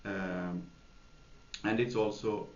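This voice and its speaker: A man's voice: fairly low pitch, fairly even intonation, slow. A man from Sweden, answering interview questions hesitantly, with many fillers and restarts. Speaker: software developer, interviewee. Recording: echoey room, dull lo-fi sound, faint noise.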